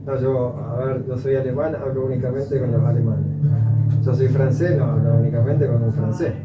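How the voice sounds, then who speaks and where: Argentine man, reflective coffee talk, distant mic, loud music behind. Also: medium pitch, fairly even intonation, normal speed. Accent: Argentine Spanish. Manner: reflective, rambling, restarts often. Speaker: coffee educator. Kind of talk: casual conversation. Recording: echoey room, far from mic, dull sound, loud music.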